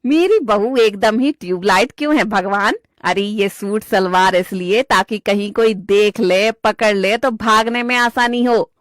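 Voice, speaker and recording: A woman's voice: medium pitch, a little slow, few pauses, dry recording, studio quality, pitched below the performer's own voice, lightly acted. A woman voicing an older, bossy village mother-in-law with a rustic North Indian accent and blunt, scolding manner, in a cartoon story. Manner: scolding, earthy exclamations.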